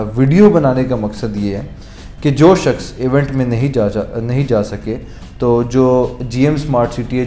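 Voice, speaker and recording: A man speaks in a roomy office that gives his lapel mic a clear echo. Faint music sits underneath. His talk is a casual update, dotted with English phrases and short fillers. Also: medium pitch, warm tone. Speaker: real estate presenter. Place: office, indoors.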